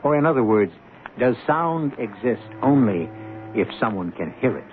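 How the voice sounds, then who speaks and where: A man hosting and narrating a radio mystery in a theatrical, wry, rhetorical and deliberate style. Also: mid-range voice, very animated, slight background noise. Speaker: host and narrator. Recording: muffled radio broadcast recording with music.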